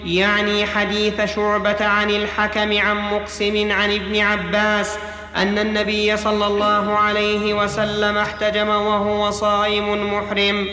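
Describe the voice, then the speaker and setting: A man's voice: high-pitched, monotone, a little slow, bright, forceful tone, faint music behind. A man reading a classical religious text aloud as a student in a lesson, in a formal reciting manner. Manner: formal recitation, repeating phrases when corrected. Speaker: student reader. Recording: echoey hall with long reverb.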